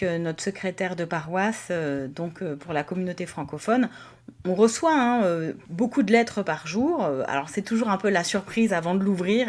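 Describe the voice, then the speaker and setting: A woman pastor co-hosting a podcast, speaking in a hesitant way with many fillers and occasional soft laughs. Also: medium pitch. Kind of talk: casual conversation.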